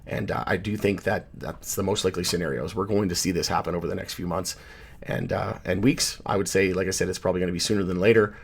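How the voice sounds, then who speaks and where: A man hosting a casual market commentary, hoarse from losing his voice, rambling and correcting himself as he goes. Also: low voice, steady tone, quick pace. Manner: rambling, self-correcting, loose with fillers. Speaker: channel host, market commentator. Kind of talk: casual chart commentary to camera.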